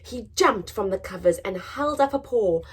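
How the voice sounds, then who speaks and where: A woman reading a children's picture book aloud in a dramatic, expressive storytelling manner, moving between hushed and emphatic delivery. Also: mid-range voice, normal speed, slightly rough. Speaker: story time reader.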